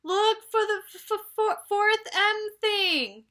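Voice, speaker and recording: A woman voicing an excited, adventurous young girl in a storybook, exclaiming with bouncy wonder. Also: very high-pitched, slow pace, voice pushed up, heavily acted. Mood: shouting, bouncy. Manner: exclamatory, bouncy, wide-eyed.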